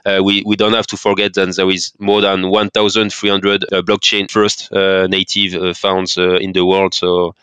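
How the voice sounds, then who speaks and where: A man from France speaks English with a clear French accent, in a low, very even tone that barely rises or falls. His voice is exceptionally clean and dry, with no room echo. He talks hesitantly, filling pauses and restarting phrases. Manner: hesitant, many fillers and restarts. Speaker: podcast guest. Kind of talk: casual interview talk.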